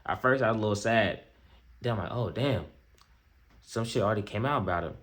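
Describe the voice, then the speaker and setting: A man's voice: lowish voice, a little fast, fairly bright tone. An American man giving off-the-cuff reaction commentary in a casual, slangy way, often repeating himself and checking in with the listener. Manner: slangy, repetitive, conversational asides to viewer. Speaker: reaction video host.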